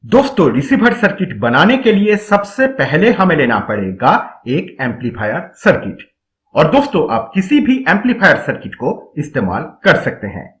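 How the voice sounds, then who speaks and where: A man's voice: fairly high pitch, huge pitch swings, moderate pace, a bit bright. A man narrating a step-by-step electronics tutorial, instructional and addressing viewers directly as friends.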